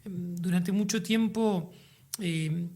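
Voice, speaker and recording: A man with a Uruguayan Spanish accent, a playwright guest in an interview, speaking in a reflective, measured way as he searches for words. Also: sits high, darkish tone. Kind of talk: interview conversation.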